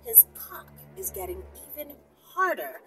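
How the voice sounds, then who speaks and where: Female storyteller, high-pitched and very animated, teasing and theatrical, recorded away from the mic with music. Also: measured pace, recorded from a distance. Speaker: storyteller, channel host. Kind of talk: dramatic story reading with playful asides.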